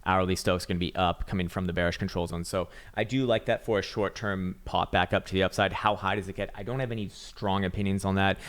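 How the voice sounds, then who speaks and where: A raspy-voiced man giving casual, rambling chart analysis, swearing now and then and full of fillers and restarts. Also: sits low, fairly even intonation, fast talker, hardly any pauses. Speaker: market analyst.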